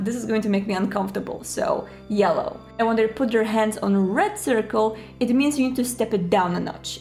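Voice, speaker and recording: A woman with a slightly high voice hosts an advice video. She talks to viewers in a chatty, conversational way. Soft music plays behind her. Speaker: host of a roleplaying advice video.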